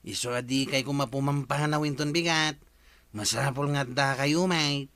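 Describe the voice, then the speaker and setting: A man's voice: medium pitch, fairly even intonation, moderate pace, fairly bright tone, no echo, lightly acted. An actor playing a gossipy, urging male neighbor with an Ilocano accent.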